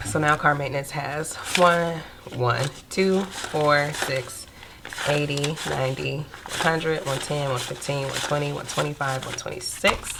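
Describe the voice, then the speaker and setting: A young woman with a stuffy nose from a cold, chatting casually to viewers while counting out cash in a steady murmur. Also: sits low, a little slow, creaky, noisy background. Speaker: budgeting vlogger.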